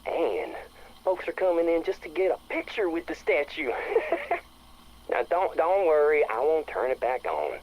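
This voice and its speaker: An enthusiastic small-town fellow with a raspy, slightly high voice. He rattles off a breezy, slangy message in a game audio log, brash and joshing. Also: sing-song, moderate pace, dull audio. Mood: upbeat. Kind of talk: casual spoken message.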